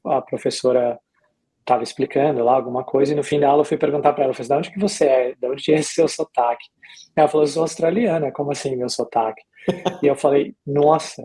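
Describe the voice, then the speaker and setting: A middle-aged man with a Brazilian Portuguese accent, speaking as an interview guest in a casual, reflective way, pausing and repeating himself as he recounts his experience. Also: medium pitch, mellow, husky, poor audio. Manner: reflective, hesitant with pauses and repetitions. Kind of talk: casual conversational storytelling.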